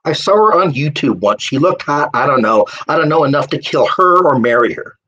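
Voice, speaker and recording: A man's voice: on the high side, animated, brisk, sharp tone, lo-fi sound. A man voicing a joking, offhand young boyfriend in a live story reading, bantering in a casual, flippant way.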